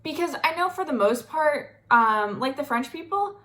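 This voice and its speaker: Bright-voiced chatty American woman. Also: high voice, lively intonation, moderate pace. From Florida, United States. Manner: chatty, lots of fillers and asides. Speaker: vlogger. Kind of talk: casual talk to camera.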